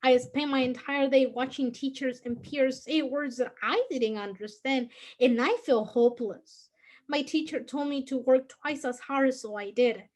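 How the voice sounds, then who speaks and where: A high-pitched young woman in her late teens with a heavy Guatemalan Spanish accent. She reads her own prose slowly and earnestly, in a careful, measured recitation. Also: a little mellow. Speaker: student reader. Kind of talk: reading personal prose aloud.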